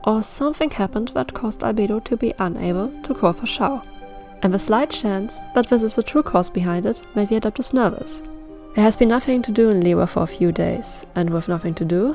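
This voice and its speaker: Woman narrating a story over soft music. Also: medium pitch, vocal fry. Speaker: fan fiction reader. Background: background music.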